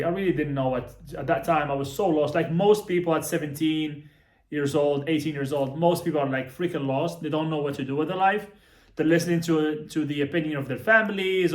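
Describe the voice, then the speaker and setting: A young man from Morocco telling his life story casually to the camera, repeating himself and swearing now and then. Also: a little high. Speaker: vlogger, coach. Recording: echoey room.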